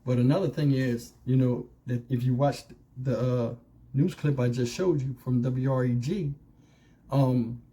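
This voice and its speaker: Mellow-voiced man giving casual commentary. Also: medium pitch, unhurried. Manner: colloquial, conversational, punctuated with rhetorical questions. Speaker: YouTube commentary host.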